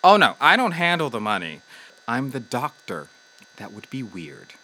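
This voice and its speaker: A man's voice: mid-range voice, big pitch swings, moderate pace, pushed and bright, slight background noise, poor audio. A man playing a breezy, flippant, money-minded doctor in an audio comedy, glib and casually tactless. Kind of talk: audio drama comedy dialogue.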